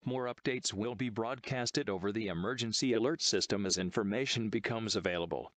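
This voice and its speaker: A low-pitched synthetic man's voice delivers a scripted emergency alert. The sound is dry and close, with no room around it. The delivery is formal, measured and impersonal, like an official announcer. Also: fairly bright tone, dry recording. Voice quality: synthetic text-to-speech voice.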